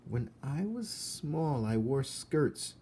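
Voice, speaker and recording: A man voicing sad, faint ghost children in a storybook, with drawn-out, old-fashioned speech. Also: medium pitch, sing-song, mellow, a little hiss or hum, voice pushed up. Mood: mournful, wistful. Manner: drawn-out, archaic wording.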